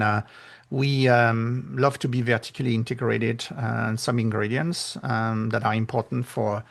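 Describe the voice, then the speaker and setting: Gravelly French-accented man, halting. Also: mid-range voice. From France. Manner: halting, many fillers, lively when retelling exchanges. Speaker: author and guest. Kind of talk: casual interview chat.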